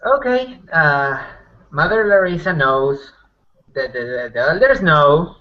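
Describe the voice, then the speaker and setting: A man playing a tabletop roleplaying game, improvising in character and haltingly repeating his words. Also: fairly high pitch, animated. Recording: dull lo-fi online call audio.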